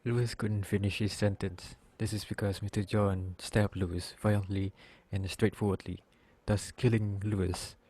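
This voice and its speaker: A man serves as narrator for a reader's theater drama. His voice sits fairly low. He delivers scripted storytelling lines between the acted parts. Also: level tone, normal speed, hardly any pauses, a little mellow.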